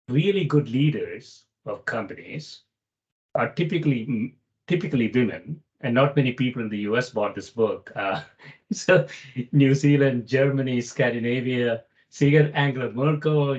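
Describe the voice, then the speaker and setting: A man's voice: medium pitch, a little mellow. Male interview host on muffled call. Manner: rambling, loosely builds his argument. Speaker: podcast host. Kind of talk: interview question, conversational.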